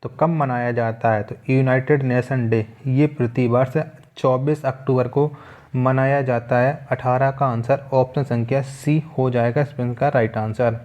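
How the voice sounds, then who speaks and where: A man's voice: mid-range voice, fairly even intonation, unhurried, a little mellow. A man teaching a quiz lesson, methodically reading out questions, asking them again and repeating the answers. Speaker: exam coaching teacher.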